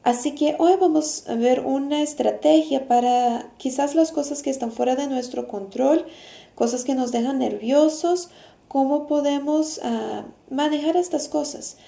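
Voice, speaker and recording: A woman teacher explaining things casually to children in a halting way, pausing mid-sentence. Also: high voice, creaky, warm tone. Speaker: teacher hosting a video lesson. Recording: roomy echo.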